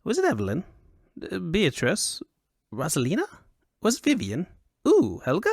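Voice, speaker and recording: A man voicing a vain, excitable, dim-witted foppish nobleman in an audiobook, with an affected, haughty, theatrical delivery. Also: a little high, moderate pace, slightly rough, no room sound, clean studio sound, pitched above the performer's own voice, full character voice. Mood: puzzled, eager. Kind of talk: audiobook character acting.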